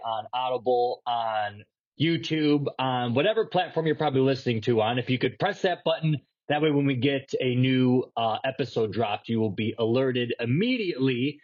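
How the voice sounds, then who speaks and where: A man's voice: medium pitch, lo-fi sound. A man co-hosting a fitness podcast in casual chat, congested and nasal from a lingering cold, rambling and cursing loosely. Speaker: podcast co-host.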